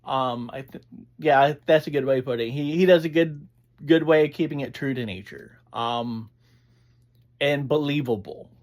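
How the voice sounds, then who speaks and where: A reviewer, chatting casually in a halting, digressive way as host of a review. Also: medium pitch, a little mellow. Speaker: audio drama reviewer, host. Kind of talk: casual rambling review chat.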